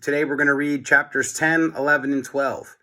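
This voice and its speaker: Guy reading a kids book out loud, bright forceful voice, really expressive, talks right to the kids. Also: a little high, brisk. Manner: expressive, addressing young listeners directly. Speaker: children's book reader, teacher. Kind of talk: animated read-aloud storytelling for children.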